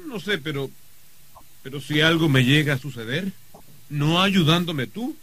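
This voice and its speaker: High-voiced wheedling young nephew. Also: male voice, expressive, measured pace, fairly bright tone. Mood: pleading threat. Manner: hesitant, wheedling. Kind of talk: radio drama acting.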